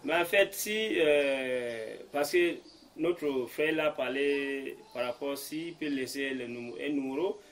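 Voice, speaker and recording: Cameroonian man, English-native host, hesitant, mid-pitched, full of fillers. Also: takes it slowly. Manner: hesitant, many fillers, mixes French and English. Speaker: host of the gathering. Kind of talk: halting welcome speech. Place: room in a private home.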